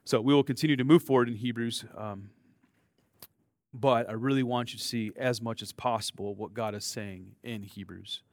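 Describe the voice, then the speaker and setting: An American man preaches a sermon in a loose, conversational way, joking at his own expense and stumbling over restarts and fillers. His voice sits at an ordinary middle pitch. The sound is dry and close, with no room echo. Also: steady tone, moderate pace, darkish tone. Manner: conversational, self-deprecating, frequent fillers and restarts. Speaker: pastor.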